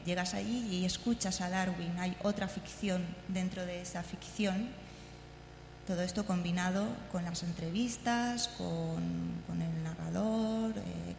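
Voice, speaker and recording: A woman from gives an informal talk introducing audio clips, haltingly and repeating words as she explains. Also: on the low side, creaky, darkish tone. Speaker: conference speaker. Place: large indoor congress hall. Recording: echoey hall, long reverb, faint noise.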